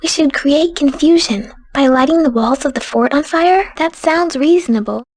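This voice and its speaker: A woman's voice: high-pitched, moderate pace, a little mellow, no echo, natural voice. An actress playing a composed, practical woman fighter in a video game, formal and level-headed.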